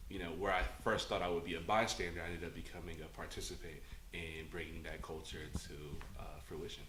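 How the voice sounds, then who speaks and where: A young man with a very low voice talks quickly as he reflects in an interview. His words echo around the room. Background noise hums under them. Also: flat delivery, fairly bright tone. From Los Angeles, USA. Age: young adult. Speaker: student interviewee. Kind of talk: interview answers.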